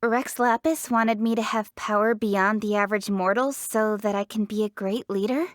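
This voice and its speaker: A woman's voice: mid-range voice, fairly even intonation, normal speed, dry recording, clean studio sound, natural voice. An actress playing a confident, proud young woman leader in a fantasy video game, speaking in an articulate, earnest, self-assured way. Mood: proud, defiant. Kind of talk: scripted video game character voice lines.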